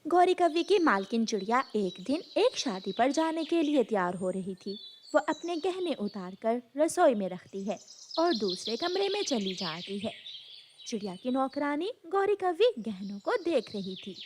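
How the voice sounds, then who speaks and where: Woman narrator, children's cartoon story, slightly high pitch, animated intonation, faint background noise. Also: measured pace.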